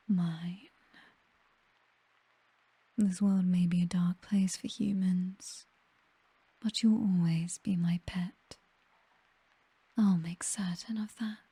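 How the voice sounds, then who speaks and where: A woman playing a possessive, doting vampire noblewoman in an intimate ASMR roleplay, with a British accent and a breathy whisper, speaking tenderly and teasingly close to the microphone. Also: medium pitch, mellow, no echo. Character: possessive, doting vampire noblewoman, commanding mistress to her butler. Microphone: close microphone, dry.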